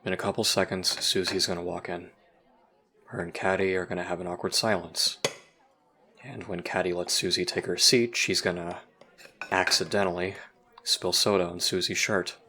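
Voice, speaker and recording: A man's voice: low voice, fairly even intonation, moderate pace, bright, forceful tone. A man acting in an audio roleplay as a jaded, sardonic human youth trapped in a time loop, talking conspiratorially and swearing casually. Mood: wry, confident.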